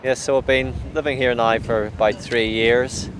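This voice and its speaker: A young man, giving a thoughtful, halting personal account in an interview, with frequent restarts. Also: mid-range voice, fairly even intonation. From Northern Ireland. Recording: clear outdoor traffic and water noise.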